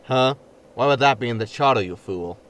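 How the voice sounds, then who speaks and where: A man's voice: mid-range voice, a little fast, lightly acted. A man playing a grumpy, impulsive spaceship captain in a sci-fi sitcom audio drama, blunt and exasperated. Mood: brash. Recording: loud background noise.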